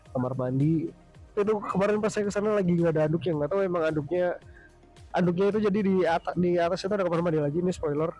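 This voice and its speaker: A man's voice: a little high, warm tone. Stuffy-nosed young man rambling casually. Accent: Indonesian. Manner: hesitant, many restarts and self-corrections. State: stuffy nose from a cold. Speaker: vlogger reviewing a hotel villa.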